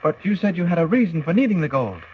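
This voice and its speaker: A man's voice: fairly high pitch, big pitch swings, fast talker. An actor playing an earnest, mild-mannered young man, hesitant and questioning, in 1940s radio serial style. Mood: puzzled, disbelieving. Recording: muffled, hissy 1940 radio recording.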